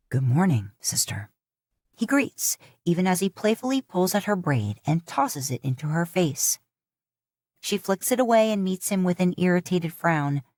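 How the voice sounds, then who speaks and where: Woman narrating a story, low and dry. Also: no room sound. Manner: steady, measured storytelling. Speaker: audiobook narrator. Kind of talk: fanfiction audiobook reading.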